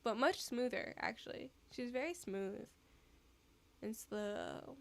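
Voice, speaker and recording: Woman streamer, casual live chat, soft and giggly, rambles, unhurried delivery. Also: a little high, animated, creaky.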